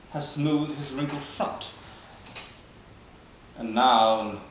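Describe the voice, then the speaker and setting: A man performing a bitter, scheming deformed nobleman in a modern-style Shakespeare monologue, speaking deliberately with dramatic pauses. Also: mid-range voice. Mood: mocking, brooding. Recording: dull, very echoey room, background hiss.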